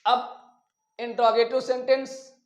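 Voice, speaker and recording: A man teaching a grammar lesson step by step, deliberately dictating and stretching out repeated examples. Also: very high-pitched, level tone. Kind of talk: classroom lesson, step-by-step explanation. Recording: echoey room.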